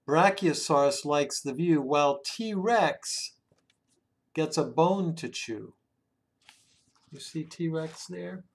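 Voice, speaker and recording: A man reads a children's picture book aloud expressively, drawing out words and hushing the ends of phrases. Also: a little high, gravelly. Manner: dramatic, with drawn-out words and hushed phrase endings. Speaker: children's story reader. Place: small room indoors at home.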